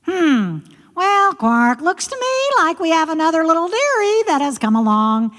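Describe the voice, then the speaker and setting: Woman performing a shrill, croaking, fussy male frog. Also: big pitch swings, moderate pace, a bit bright, heavily acted. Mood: coy, sly. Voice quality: shrill, punctuated by croaking "quark" cries. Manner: coy, folksy, nagging, repetitive. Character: ugly, fussy, croaking male frog suitor, wheedling and demanding. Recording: long hall-like reverb.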